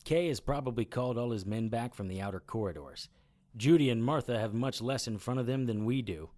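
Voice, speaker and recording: A man acting a brisk, commanding occult adventurer in an audio drama, instructive and matter-of-fact. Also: mid-range voice, a little mellow, natural voice. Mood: brisk, instructing. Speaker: lead actor and narrator. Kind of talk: audio drama acting and first-person narration.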